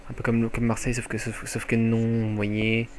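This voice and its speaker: A young man with a French accent giving live commentary on his own online match from home, excitable and jokey, swearing often. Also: medium pitch, normal speed. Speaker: gaming commentator. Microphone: headset mic.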